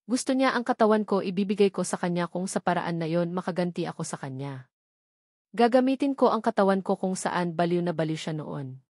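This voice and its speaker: A woman's voice: lowish voice, expressive, a little fast, darkish tone, no room sound. Audiobook role: cold, resolute young woman, bitter and vengeful, read by a female narrator, very dry sound.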